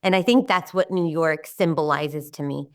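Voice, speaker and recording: A middle-aged Israeli woman whose first language is Hebrew, talking as an interview guest in a warm, rambling way with many restarts. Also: sits low, moderate pace, distant mic. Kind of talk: casual interview talk.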